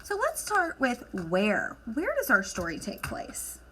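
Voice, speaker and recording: A woman teacher leading an instructional lesson for children, asking questions, encouraging answers and dictating deliberately as she writes. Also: on the high side, huge pitch swings, bright, forceful tone. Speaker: elementary school teacher.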